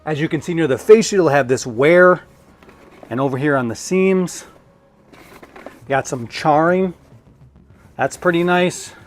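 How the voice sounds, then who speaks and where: A man's voice: a little high, a little slow, pauses often, soft background music. A man giving a casual, hands-on unboxing review in a conversational way, thinking aloud as he goes. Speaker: product reviewer.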